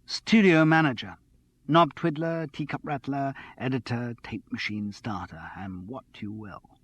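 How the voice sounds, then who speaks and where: A man reading an audiobook, voicing an excitable, slightly tipsy young woman who chatters on without pause. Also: mid-range voice, husky, dry recording. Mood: giddy. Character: giddy, tipsy young woman studio manager.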